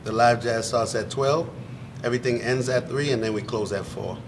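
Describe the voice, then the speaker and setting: Trinidadian man, relaxed and matter-of-fact. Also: medium pitch, normal speed, background noise. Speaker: guest, coffee shop owner. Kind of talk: casual chat.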